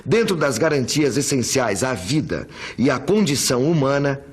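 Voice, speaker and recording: A Brazilian man presents educational material in a husky, mid-pitched voice. His delivery is that of a presenter reading and explaining to viewers. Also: very animated, moderate pace.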